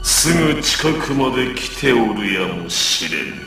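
A slow, echoing demon overlord. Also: male voice, medium pitch, sing-song, creaky, heavily acted. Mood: brooding, proud. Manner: grave, imperious, archaic speech. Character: brooding male demon overlord craving a worthy foe. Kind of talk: dramatic video game cutscene acting. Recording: echoey, distant, under loud music.

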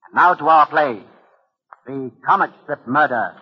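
A man hosting and narrating a radio mystery series in formal, deliberate 1940s style. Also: on the high side, animated, muffled recording.

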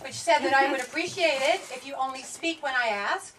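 A woman's voice: high voice, brisk, lightly acted. A woman voicing a haughty, domineering fashion queen in a comic puppet show, with pompous, commanding delivery. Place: small indoor shop puppet show. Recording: noisy, distant camera microphone.

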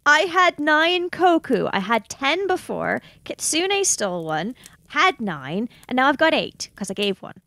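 A woman with a fairly high voice chats casually as a player in a tabletop roleplaying game. She talks through her character's choices, and the recording is dry and close. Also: very animated, a little slow, bright, forceful tone, dry recording.

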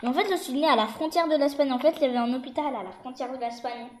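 A woman voicing an excitable, slangy young reality-show contestant who rambles and repeats herself insistently in a toy story. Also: high-pitched, fast, natural voice. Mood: explaining insistently. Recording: echoey, noisy homemade recording with clicks.

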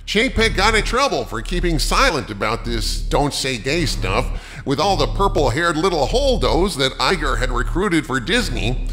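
A man's voice: a little high, big pitch swings, moderate pace, sharp tone, a little hiss or hum. A man voicing a sarcastic, folksy tentacled monster sidekick on a commentary show. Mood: sardonic, gossipy.

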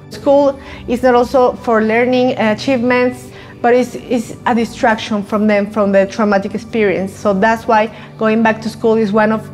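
Higher-voiced woman. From Mexico. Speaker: education officer. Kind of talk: documentary interview. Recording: faint music under voice. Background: faint music.

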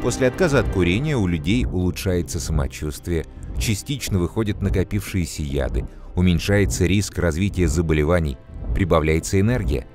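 A man voicing a calm adult scientist who explains things to children in a measured, earnest way. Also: deep voice, over music. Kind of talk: scripted educational narration.

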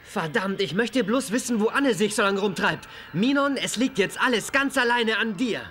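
A man's voice: sits high, animated, moderate pace, very noisy. An actor playing a cruel, languid young male alien villain in an anime audio drama, haughty and savoring his words. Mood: irritated. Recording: loud music under the voice.

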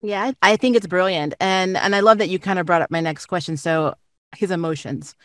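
A woman's voice: fairly low pitch, brisk, fairly bright tone, dry recording. A woman conducting an enthusiastic, effusive interview over a video call.

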